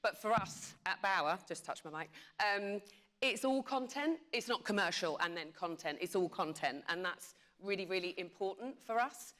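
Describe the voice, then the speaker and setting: A woman's voice: mid-range voice, lively intonation, moderate pace, fairly bright tone. A woman talking conversationally and emphatically as a media executive on a panel, repeating phrases for emphasis.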